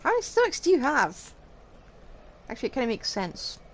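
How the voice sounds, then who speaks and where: A woman's voice: high-pitched, wildly swooping pitch, fairly quick, background noise. A woman giving casual, wry, offhand live commentary as she streams a game. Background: game music.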